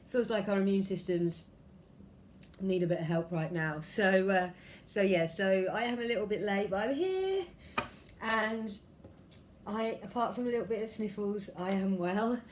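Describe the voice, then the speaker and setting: A woman with a head cold, her voice a little croaky, giving a chatty angel card reading at home with many ums and throat clearings. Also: medium pitch, a little mellow. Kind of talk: casual spiritual vlog talk. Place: room at home by a window.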